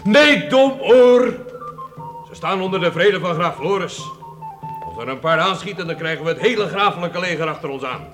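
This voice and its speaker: An arrogant lord of a castle, haughty, commanding and full of scorn. The voice is high for a man. It is radio drama, with music clearly heard behind it. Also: expressive, normal speed. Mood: scornful, gloating. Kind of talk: Dutch radio play acting.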